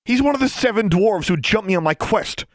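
A man voicing a fierce, sharp-tongued young woman who fires off cutting retorts. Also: fairly high pitch, big pitch swings, moderate pace, no echo, studio quality, pitched above the performer's own voice. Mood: furious.

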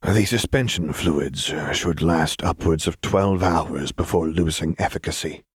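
A man's voice: extremely low pitch, a little slow, breathy edge, no room sound, studio quality, full character voice. A man voicing a detached, clinical male doctor with a formal, terse manner, in an audio story.

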